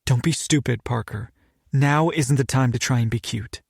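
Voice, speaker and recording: A tough, no-nonsense woman, as a male narrator voices her, blunt and scolding in a low, husky tone. The voice is gravelly and sits dry and close. She barely rises above the narrator's own voice. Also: moderate pace, no room sound.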